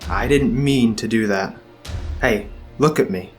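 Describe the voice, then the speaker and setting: A man voicing a menacing, controlling husband who talks in a low, cool tone. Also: measured pace, natural voice. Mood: placating. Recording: faint music underneath.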